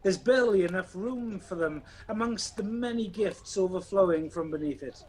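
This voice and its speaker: A man's voice: sits high, moderate pace, darkish tone, lightly acted. A man acting as the storybook narrator of a ghost tale, reading scripted radio play narration. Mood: measured storytelling. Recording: faintly noisy home recording.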